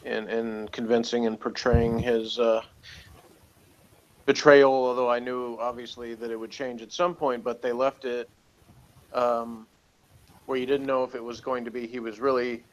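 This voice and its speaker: Man, mid-pitched voice, casual review chat, co-host, thinking aloud, dry humor. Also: flat delivery, measured pace, muffled recording. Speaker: co-host giving review opinions.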